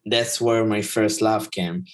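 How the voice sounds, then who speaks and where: A man whose first language is Hebrew, hosting a casual conversation in a relaxed, reflective way. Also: lowish voice, takes it slowly.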